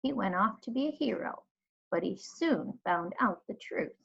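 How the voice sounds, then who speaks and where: Elderly female librarian, played by a woman reader, fond dramatic storybook teller, unhurried and emphatic, dull recording. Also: medium pitch, animated, vocal fry, breathy edge, full character voice. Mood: solemn. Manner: slow, drawn-out, emphatic phrasing. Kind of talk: storybook read-aloud.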